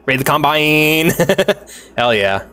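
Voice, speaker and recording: A man streaming a story game, reading lines aloud and reacting with loud, profane excitement. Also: a little high, drawn-out words. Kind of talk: gaming livestream, reading dialogue aloud.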